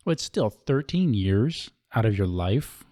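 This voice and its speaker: A man with a fairly low voice hosts a podcast from Hawaii, chatty and informal with plenty of relaxed fillers. His voice sounds close and dry in the studio, with no room echo. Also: measured pace. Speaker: podcast host. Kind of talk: casual interview conversation. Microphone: studio microphone.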